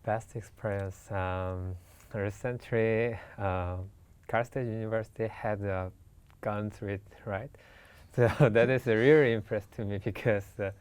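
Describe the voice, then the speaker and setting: A young man from South Korea, speaking halting English with a Korean accent and many fillers as a student guest in a casual interview. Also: on the low side, fairly even intonation, darkish tone, clean tone, no echo. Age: young adult.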